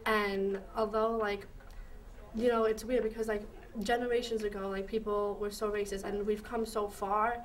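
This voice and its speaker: A woman's voice: mid-range voice, flat delivery, moderate pace, far from the mic, a little hiss or hum, low-quality recording. A teenage girl speaking casually in an interview, rambling with many fillers, in a school library. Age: teens. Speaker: student in program.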